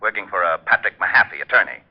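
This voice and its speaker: An actor playing a gruff, easily exasperated police officer, brusque and matter-of-fact, in an old-time radio drama. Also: male voice, low-pitched, animated, fast, dull audio, heavily acted.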